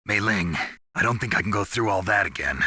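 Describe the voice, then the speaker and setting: A man playing a gruff, stoic, battle-hardened soldier in a video game, with a gravelly, husky voice and a terse, grim manner. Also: fairly low pitch, expressive, a little fast, pushed and bright, no room sound, studio quality, full character voice. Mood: earnest.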